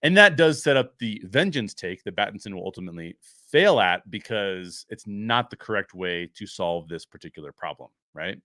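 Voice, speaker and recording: A man's voice: lowish voice, animated, normal speed, hardly any pauses, sharp tone, dry recording, clean studio sound. A man hosting a pop-culture show, giving conversational film commentary in an emphatic, argumentative way, explaining through rhetorical questions.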